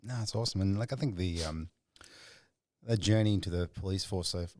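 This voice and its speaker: A man's voice: sits low, a little fast, a little mellow, no room sound, studio quality. A man from Sri Lanka hosting a podcast interview, asking long, musing questions and reflecting on his own experience. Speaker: podcast host.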